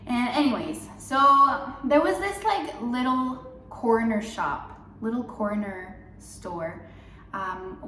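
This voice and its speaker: A woman's voice: mid-range voice, fairly bright tone, a little hiss or hum. Chatty young woman, roomy distant sound. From Mexico. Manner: chatty, upbeat, many fillers. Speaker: faith vlogger. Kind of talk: casual devotional vlog. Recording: roomy, a bit far from mic.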